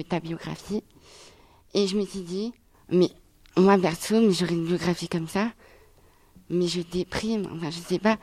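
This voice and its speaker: A woman's voice: mid-range voice, a little mellow. A young woman asking guests questions in a chatty, hesitant way, full of fillers. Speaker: audience member asking questions. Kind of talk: casual question.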